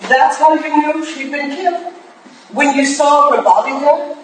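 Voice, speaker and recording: A woman's voice: sits high, a little slow. A woman playing a stern, shrewd Victorian investigator in a staged reading, commanding and accusing. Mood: interrogating. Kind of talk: staged reading of a period drama. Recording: distant, very echoey room, faint noise.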